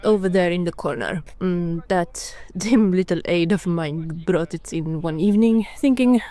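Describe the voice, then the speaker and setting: A woman's voice: fairly low pitch, unhurried, dark tone, lightly acted. A woman playing an eccentric, languid vampire archduchess in a fantasy roleplay, airy, drawling and theatrical as she dotes. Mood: vague, languid.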